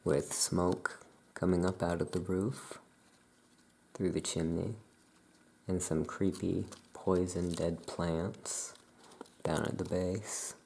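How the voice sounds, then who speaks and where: A soft-spoken ASMR host in a calm, unhurried shopkeeper roleplay, leaving long pauses between phrases. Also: low-pitched, vocal fry, slight background noise. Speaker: ASMR host playing a Salem witch shopkeeper.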